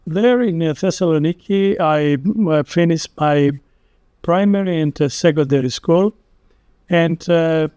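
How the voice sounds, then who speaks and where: Older Greek-accented man, mellow, dry close sound, halting delivery with many pauses. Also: on the high side, no room sound. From Greece. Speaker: publisher recounting company history. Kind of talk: unscripted talk.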